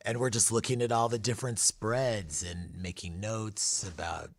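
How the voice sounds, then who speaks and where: A man's voice: fairly low pitch, steady tone, moderate pace, no room sound. A man speaking as a guest author in an interview, telling casual stories and acting out bits of what was said. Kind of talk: casual conversational storytelling.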